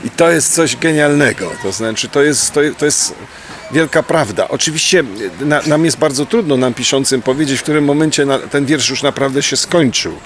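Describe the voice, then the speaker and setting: A man's voice: mid-range voice, normal speed, fairly bright tone, a little hiss or hum. Thoughtful man reflecting on poetry. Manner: thoughtful, with restarts and tag questions. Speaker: poet. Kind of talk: reflective interview talk.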